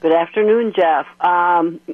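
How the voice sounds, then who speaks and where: A woman's voice: fairly low pitch, a little slow. A woman calling in to a radio show, talking enthusiastically about singing along to music. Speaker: caller. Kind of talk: phone-in chat. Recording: muffled phone line.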